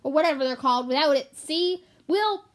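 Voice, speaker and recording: A grumpy young brownie girl as a woman reader acts her out in a storybook, with a fairly high voice. She is blunt, suspicious and always ready for a fight. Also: animated, brisk. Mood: angry. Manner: blunt, combative, indignant.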